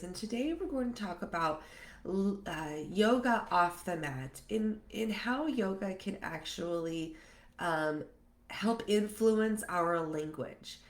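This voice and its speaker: A congested woman in her thirties leads a live yoga workshop, speaking slowly in a fairly low voice. She pauses and restarts often, filling the gaps with ums. Her voice carries the echo of the room she is in. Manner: halting, with many fillers and restarts. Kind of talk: live online workshop talk. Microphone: computer mic on a live stream. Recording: echoey room.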